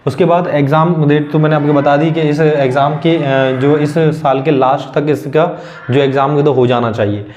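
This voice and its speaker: A man's voice: on the high side, steady tone, moderate pace, darkish tone, slight background noise. A young man gives informal, unscripted advice to students, often repeating a filler phrase and restating his points. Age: young adult. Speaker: exam news YouTuber. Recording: dull lo-fi microphone sound.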